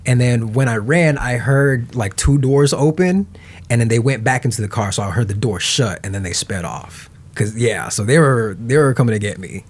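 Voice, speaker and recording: Podcast guest: man in his twenties, from Nebraska, animated storyteller, mid-pitched, laughs a lot. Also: normal speed. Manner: animated storyteller who acts out quotes, laughs often. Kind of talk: casual storytelling interview.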